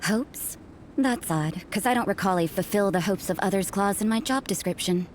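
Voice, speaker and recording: An actress voicing a cool, sardonic, rebellious nun in a video game, with a dry, dismissive, unhurried delivery. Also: female voice, medium pitch, animated, a little hiss or hum, lightly acted.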